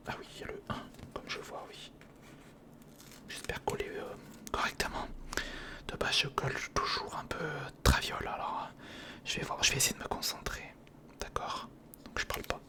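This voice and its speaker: Whispering man doing informal ASMR. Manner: informal, thinking aloud, repeating words softly. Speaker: ASMR video creator. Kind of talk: whispered ASMR, narrating a hands-on build. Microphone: close recorder or studio microphone.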